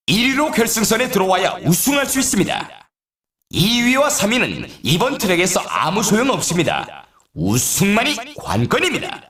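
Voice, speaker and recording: A man playing an excitable race announcer in an arcade sports video game, calling out in a showy, emphatic way. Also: high-pitched, echoey room, full character voice. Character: excitable male snowboard race announcer hyping competitors.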